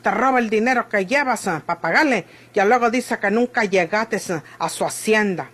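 A Mexican actress playing a bandit's anxious, devoted sweetheart in a classic radio soap, with rustic, worried speech. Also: female voice, mid-range voice, normal speed, fairly bright tone, husky. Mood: warning. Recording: old noisy crackly radio recording.

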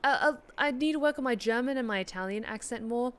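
A woman's voice: on the high side, fast, fairly bright tone. Chatty Australian woman streaming a game. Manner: chatty, many fillers, rambling. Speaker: streamer and voice actor. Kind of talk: casual chat while gaming. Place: small room indoors.

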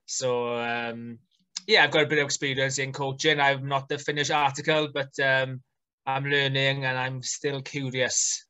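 Welsh male coach, 30s, bright tone, relaxed chatty guest on a webcam call. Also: medium pitch, measured pace. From Wales. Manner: relaxed, chatty, many ums and fillers. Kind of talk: casual video-call interview. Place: small home room indoors. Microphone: video call webcam.

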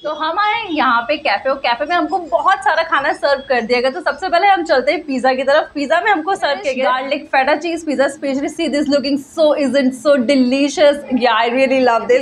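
A woman in her twenties reviewing food at a small cafe, chatting casually and drawing out her tasting remarks slowly. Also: high voice, slight background noise. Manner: chatty, mixes Hindi and English, slow drawn-out tasting remarks. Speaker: food vlogger. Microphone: handheld phone camera at arm's length.

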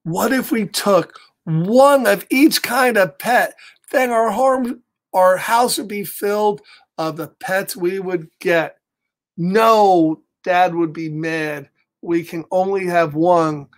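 A middle-aged American man hosting a children's story time, reading a picture book aloud with chatty asides in an animated, exaggerated way. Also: high-pitched, takes it slowly. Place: small home office, indoors.

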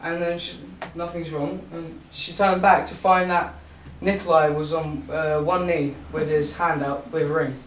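A teenage boy tells a story to a small room, halting and restarting, his voice a little high. He is heard from a far camera with a roomy echo and faint ambience behind him. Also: little pitch movement, slight background noise. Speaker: busker telling a story. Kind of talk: nervous live storytelling.

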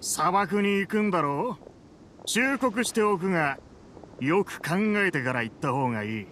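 Menacing, animated mercenary boss. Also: male voice, sits high, moderate pace, slight background noise. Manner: taunting, threatening, rough-spoken. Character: menacing middle-aged male mercenary leader. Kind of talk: Japanese anime game voice acting. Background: background music.

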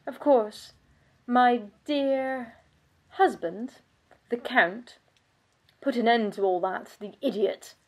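An actress playing a bitter, world-weary aristocratic vampire countess in a theatrical monologue, pompous and sardonic in manner. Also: female voice, fairly high pitch, slow, long pauses, a little mellow. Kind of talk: scripted dramatic monologue.

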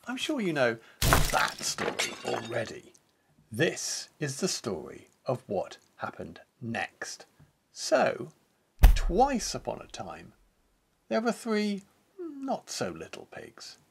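A man's voice: medium pitch, creaky, background noise. A man in his fifties with an English accent reads a picture book aloud theatrically, speaking directly to the listener. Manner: theatrical, expressive, addressing the listener. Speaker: children's author and storyteller.

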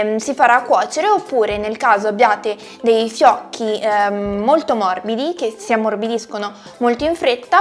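A young woman presenting a recipe tutorial to camera from her home kitchen, chatty and explanatory, pausing often on fillers. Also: fairly high pitch. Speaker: cooking channel host.

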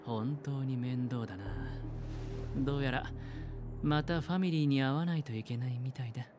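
A man playing a suave, flamboyant young gambler in an anime game, speaking in a smooth, theatrical, self-assured way. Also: mid-range voice, moderate pace, over music, natural voice. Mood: wry. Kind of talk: Japanese anime game voice acting.

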